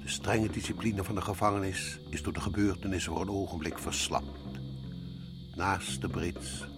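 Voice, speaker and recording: A man's voice: low voice, flat delivery, moderate pace, over music, close to an everyday voice. A man narrating a suspense radio drama in a solemn, dramatic way. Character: grave male narrator of a suspense story.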